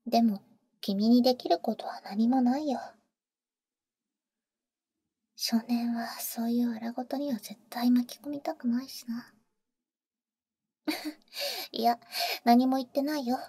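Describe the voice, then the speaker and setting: A woman playing a teasing, protective vampire big sister in an ASMR roleplay, speaking in an intimate, soft whisper close to the mic with cool, boyish phrasing. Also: a little high, fairly even intonation, unhurried, pauses often, slightly rough, no room sound. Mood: tender. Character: teasing, protective female vampire, older-sister type to a boy. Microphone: close microphone, dry.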